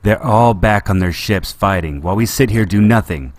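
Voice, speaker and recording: A man voicing a desperate, headstrong young princess with a pleading, sharp way of talking. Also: on the low side, normal speed, natural voice. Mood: insistent.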